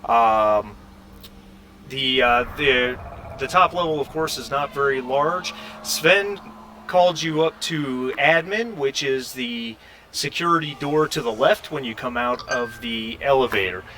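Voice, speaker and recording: A man narrating a tabletop role-playing game as game master, halting and conversational as he searches for words. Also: medium pitch, sharp tone, slight background noise.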